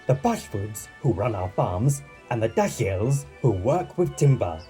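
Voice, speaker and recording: A man's voice: medium pitch, big pitch swings, unhurried, mellow, faint music behind. A man playing a kindly island boss in an audio drama, courteous, encouraging and a little formal. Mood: pleased, welcoming. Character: kindly male island boss reassuring young workers. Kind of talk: scripted audio drama dialogue.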